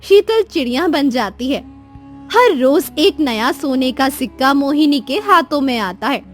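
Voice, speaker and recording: A woman's voice: high voice, lively intonation, a little slow, soft background music. A woman giving scripted storybook narration as the narrator of a cartoon tale.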